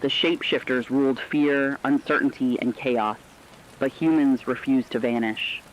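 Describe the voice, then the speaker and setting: Male narrator, mid-pitched, grave, measured, dramatic scripted read, dull sound, light rain noise. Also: steady tone.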